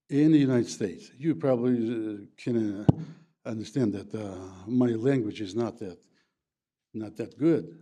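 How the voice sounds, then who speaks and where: An older man from the former USSR, tongue Plattdeutsch, speaking English in halting public comment at a county board meeting, full of fillers and broken grammar. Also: mid-range voice, dark tone. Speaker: resident giving public comment.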